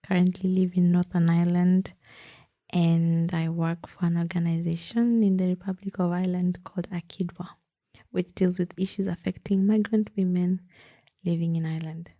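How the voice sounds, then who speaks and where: Very dark-voiced woman. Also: fairly low pitch, steady tone, moderate pace, no room sound, dull audio. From Kenya. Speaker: youth activist, podcast co-host. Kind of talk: interview answers.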